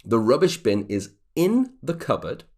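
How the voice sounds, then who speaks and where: A man's voice: mid-range voice, huge pitch swings, measured pace, vocal fry, a little mellow. A man teaching an online English grammar lesson, explaining patiently and checking in with the viewer as he goes.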